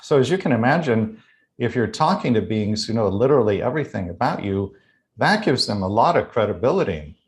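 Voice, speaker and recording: An American man giving an explanatory spiritual talk as a guest author, speaking in a measured, deliberate and articulate way. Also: mid-range voice, expressive. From United States.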